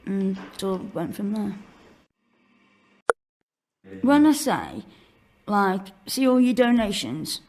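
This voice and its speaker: A woman's voice: mid-range voice, sing-song, takes it slowly, pauses often, a little mellow. A woman giving candid, informal testimony in a blunt, colloquial way. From Newcastle-under-Lyme, England. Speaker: interviewee telling own story of homelessness.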